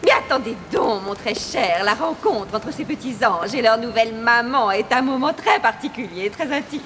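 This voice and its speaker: Cartoon evil queen, high-pitched, sharp, haughty, mock-sweet, sneering, scheming. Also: expressive, moderate pace, slight background noise. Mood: feigned sweetness. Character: wicked, haughty sorceress queen, a scheming cruel stepmother. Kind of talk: animated fairy-tale dubbing.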